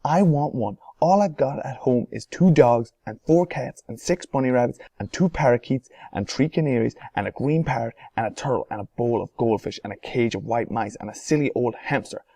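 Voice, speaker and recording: A man's voice: medium pitch, lively intonation, moderate pace, mellow, no room sound. A man voicing a spoiled young girl who whines and demands things. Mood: demanding. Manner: whiny, demanding.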